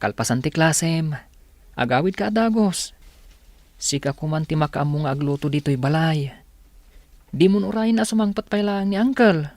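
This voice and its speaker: A man's voice: on the high side, animated, normal speed, long pauses, darkish tone, no room sound. Man acting a polite young student, dry sound. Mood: caring, polite. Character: earnest, polite young male student, dutiful older brother. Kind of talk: radio drama acting.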